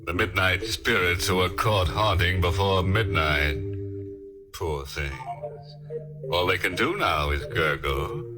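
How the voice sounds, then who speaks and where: A man's voice: low-pitched, takes it slowly, music in the background. A man playing a jovially ghoulish 1940s radio horror host in a theatrical, mock-sinister manner, on a vintage recording. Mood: ghoulish glee.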